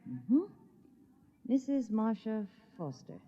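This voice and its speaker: High-voiced brisk stewardess, dull old recording. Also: female voice, very animated, warm tone, breathy edge, full character voice. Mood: brisk, polite. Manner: polite, official. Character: pretty young spaceship stewardess, polite and brisk. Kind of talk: 1950s radio drama acting.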